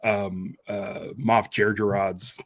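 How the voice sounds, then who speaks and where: A man's voice: lowish voice, level tone, slow pace. A man co-hosting a podcast in casual, conversational fan chat. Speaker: podcast co-host.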